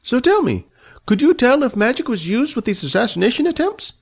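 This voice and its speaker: A man reading a storybook aloud, voicing a fussy, pompous old male wizard with a thin, high voice and a prim, inquisitive manner. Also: very animated, fairly quick, breathy edge, heavily acted.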